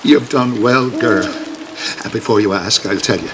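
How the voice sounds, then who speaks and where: An actor playing a wry, brave priest in a video game, with an Irish accent and a dry, folksy, unflappable manner. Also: male voice, lowish voice, moderate pace, natural voice. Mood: relieved, pleased. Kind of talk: video game cutscene acting. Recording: noisy, with rain behind.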